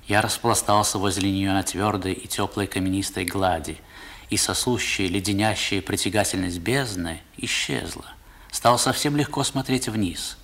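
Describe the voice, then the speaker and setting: A man's voice: deep voice, moderate pace, fairly bright tone. A man narrating a 1960s radio play as a grown storyteller recalling boyhood, in a dramatic literary manner, on an old recording. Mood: reflective.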